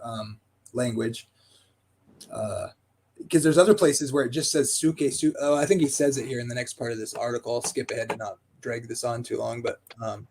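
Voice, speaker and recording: A man in casual discussion who reads passages aloud and thinks out loud, halting with fillers. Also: medium pitch, a little hiss or hum.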